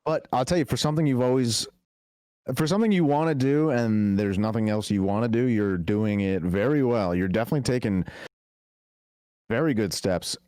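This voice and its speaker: A man's voice: mid-range voice, moderate pace, mellow, no room sound. A man co-hosting a podcast, laid-back and encouraging toward a guest. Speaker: podcast co-host. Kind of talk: casual podcast chat.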